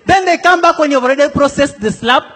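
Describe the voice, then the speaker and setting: A man's voice: very high-pitched, quick pace, fairly bright tone, in a large hall. A young man from South Sudan performing a stand-up comedy set, playfully acting out the people in his stories. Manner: playful, acts out quoted characters. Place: comedy stage in a large hall before a laughing audience.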